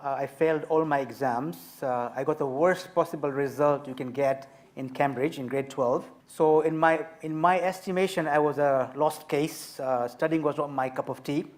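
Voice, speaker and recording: A man in his fifties, from Tanzania, telling his story as an author and speaker on a festival panel, measured and emphatic. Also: on the high side. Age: 50s.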